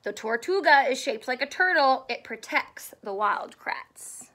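Woman, high voice, slow delivery, expressive teacher read-aloud, questioning, drawn-out key words. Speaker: teacher reading aloud to young children. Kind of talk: animated read-aloud of a children's book. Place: small indoor room with couch and stuffed toys.

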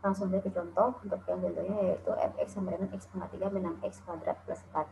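Gravelly low woman lecturing over slides. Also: fast, slight background noise. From Indonesia. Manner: steady explaining with frequent "eh" and "nah". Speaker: calculus lecturer. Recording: tinny phone-like lo-fi audio.

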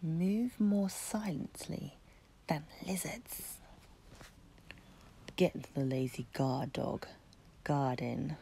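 Expressive, slightly low-voiced woman storyteller. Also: very slow, vocal fry, pauses often, a little hiss or hum. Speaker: children's story reader.

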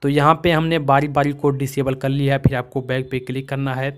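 A man's voice: mid-range voice, completely flat delivery, a little fast, clean tone. A man from India narrating a step-by-step phone tutorial as its host, in a repetitive, instructional way.

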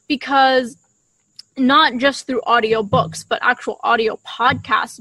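A woman's voice: fairly high pitch, a bit bright, dry recording, rough recording. A young adult woman co-hosting a podcast in casual conversational style, recorded outdoors on a shared desktop microphone. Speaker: podcast co-host. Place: outdoors in a leafy garden. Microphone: shared desktop condenser microphone.

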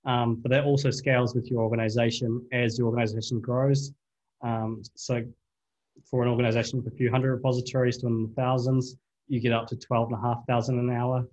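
Flat-toned young man presenting tech. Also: mid-range voice, brisk. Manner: relaxed, some restarts. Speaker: conference presenter. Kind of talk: technical presentation. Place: indoor room. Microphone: video call. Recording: dull lo-fi video call audio.

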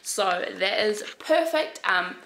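A young woman in her late teens talks to a handheld camera in a bright living room, her voice croaky and gravelly from a cold. She rambles on with plenty of "um" and "so", and the room around her sounds echoey with faint noise behind. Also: medium pitch, animated, slow pace. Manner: chatty, rambling, lots of "um" and "so". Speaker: parenting vlogger. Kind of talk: casual vlog talk to camera. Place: bright open-plan living room and kitchen. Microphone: handheld camera at arm's length.

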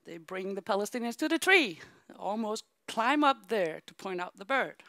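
A woman's voice: medium pitch, normal speed, no room sound, studio quality. A woman giving a keynote talk on a theater stage, telling a story into a handheld microphone and acting out voices in quotes. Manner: animated, acts out voices in quotes. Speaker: keynote speaker.

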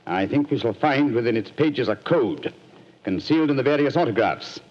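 An actor playing a cool, precise detective with crisp, measured diction in a 1940s radio drama. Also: male voice, medium pitch, lively intonation, lightly acted. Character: cool, precise male detective, clipped and confident. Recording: dull lo-fi 1940s radio recording.

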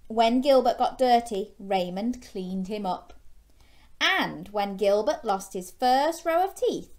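A young woman reading a children's storybook in a gentle, expressive way, chatting with young listeners through questions. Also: mid-range voice, slow. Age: young adult. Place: small bedroom, indoors.

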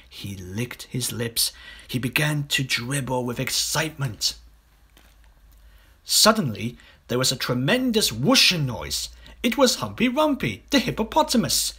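A man reading a children's story aloud as an expressive audiobook narrator. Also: medium pitch, husky. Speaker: audiobook reader.

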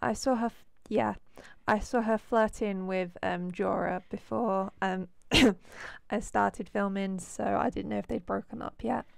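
A woman commentates a life-sim game in a loose, rambling way, full of fillers. Her voice is hoarse from a cold, with a gravelly edge under an otherwise smooth tone. The sound is dry and close, with no room around it. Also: mid-range voice, fairly even intonation, a little slow, no room sound. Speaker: let's play host.

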